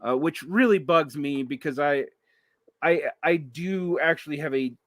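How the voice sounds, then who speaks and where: A man's voice: mid-range voice, fairly bright tone, no echo. A man hosting a casual interview, talking in a rambling way with many fillers and restarts. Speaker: podcast host.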